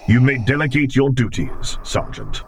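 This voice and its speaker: A man voicing a menacing dark armored lord in an audiobook, with a low growling rumble and slow, clipped, imperious delivery. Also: expressive, vocal fry. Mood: commanding. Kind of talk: audiobook character voice.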